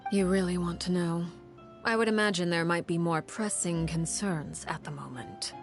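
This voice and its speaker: Woman as haughty, low-voiced powerful lady. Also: faint music behind, natural voice. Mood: cool, composed. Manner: measured, condescending, formal. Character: cool, composed, haughty young woman of power, calculating. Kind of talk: video game character dialogue.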